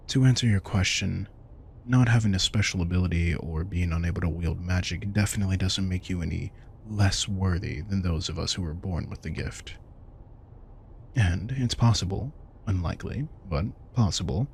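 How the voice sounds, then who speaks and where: A man playing a protective, wry and tender vampire king in an intimate audio roleplay, soft-spoken and casual. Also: low-pitched, gravelly, slight background noise. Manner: soft-spoken, casual, reassuring, occasionally swearing. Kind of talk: intimate audio roleplay, one-sided dialogue.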